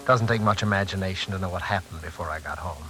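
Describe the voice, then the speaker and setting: A man's voice: low voice, fast, lightly acted. An actor playing a wary, sardonic family man with a dry, clipped manner in a 1940s radio suspense play. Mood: grim narrating. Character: wary, sardonic husband and father, distrustful of his brother-in-law. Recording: old 1940s radio recording with faint music.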